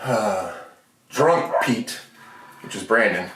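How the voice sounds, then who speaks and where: Animated man in a roomy, noisy recording. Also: lowish voice, slow pace. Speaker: podcast co-host. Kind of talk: casual beer-tasting chat. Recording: roomy, distant mic, clear background noise.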